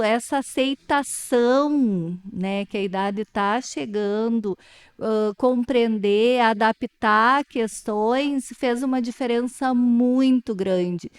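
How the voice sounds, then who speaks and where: A woman, interviewing in a thoughtful, personal, unhurried way. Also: fairly high pitch, clear, resonant tone. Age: 40s. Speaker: interviewer, educator. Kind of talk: reflective conversational interview.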